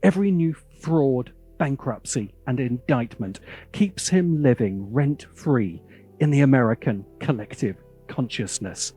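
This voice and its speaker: A British man narrating a scripted opinion video essay as its host, with a sardonic, theatrical and deliberate delivery. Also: mid-range voice, expressive, warm tone, husky, faint music behind.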